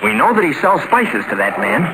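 A take-charge young all-American hero played by a male actor in a 1940s radio serial. He talks fast and decisively, with a highly animated male voice, over a noisy old recording. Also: close to an everyday voice. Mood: thoughtful, suspicious.

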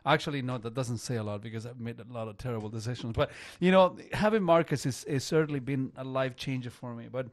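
A man in his fifties hosting a radio talk show, English not his first language, talking in an animated way and often repeating words as he starts a sentence. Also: mid-range voice, fast talker, few pauses, no echo. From not Canada.

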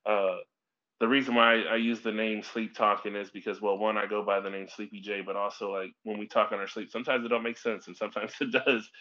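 A man's voice: on the low side, little pitch movement, brisk, pushed and bright. A man hosting a podcast with a Midwestern American accent, talking casually and rambling a little with many fillers. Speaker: podcast host. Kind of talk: casual conversation. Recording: dull lo-fi audio.

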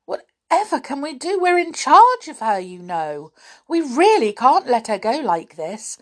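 A dutiful young girl from a children's storybook, earnest and caring for the others, as a woman reading aloud plays her. Her voice rises high and swings widely. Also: expressive, moderate pace, darkish tone. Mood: alarmed. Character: anxious, responsible young girl.

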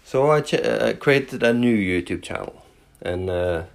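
A Norwegian-accented man with a low, deep voice talks through his first solo podcast at an unhurried pace. He speaks English as a second language and fills his pauses with frequent fillers and false starts. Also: lively intonation, gravelly. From Norway. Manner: hesitant, many fillers and restarts. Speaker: podcast host.

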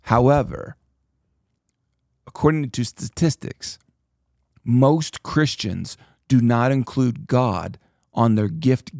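A man hosting a casual church podcast, talking with animated, preachy emphasis and reading scripture aloud. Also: medium pitch, no room sound, clean studio sound. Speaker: pastor and podcast host.

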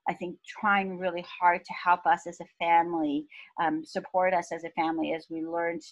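A woman in her fifties, from Pakistan, talking thoughtfully as a podcast guest with soft laughs. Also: fairly low pitch, animated, moderate pace, gravelly, highs cut off. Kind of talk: reflective interview talk.

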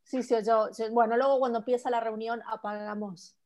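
A chatty Argentine woman speaks a little high over a video call link. She is friendly and loose, often restarting and looping back mid-sentence as she hosts a book club chat. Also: steady tone, normal speed, darkish tone. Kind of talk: casual chat.

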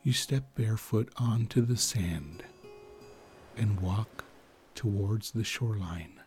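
A man leads a guided sleep meditation with a soothing, very slow delivery. His voice sits on the low side, and he leaves long pauses between phrases. Music plays clearly behind him. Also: darkish tone.

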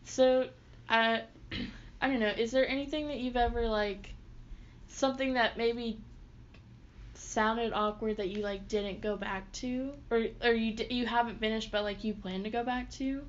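A woman's voice: a little high, fairly even intonation, measured pace. A young woman interviewing a singer, asking casual questions with hesitant fillers. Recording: dull lo-fi audio with faint noise.

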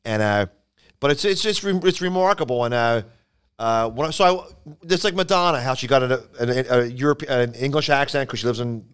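A man's voice: medium pitch, brisk, fairly bright tone, clean tone. A man with a Philadelphia accent co-hosting a podcast, rambling through jokey stories and losing his train of thought. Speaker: podcast co-host. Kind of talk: casual chat.

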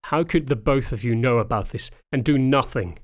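Male narrator as a hot-headed young dog-man, snarling, sarcastic, indignant, mid-pitched, audiobook drama. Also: moderate pace, natural voice. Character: fierce hot-tempered young male canine beast, ex-sailor.

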